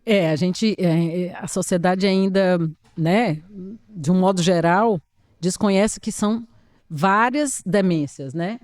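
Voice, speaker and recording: A woman's voice: on the low side, slow, darkish tone. A woman, an occupational therapist answering interview questions in an earnest, emphatic, explanatory way.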